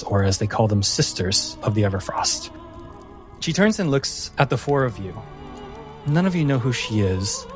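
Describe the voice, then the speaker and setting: A man narrating a tabletop game as game master, in a measured, deliberate storytelling manner with pauses. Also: mid-range voice.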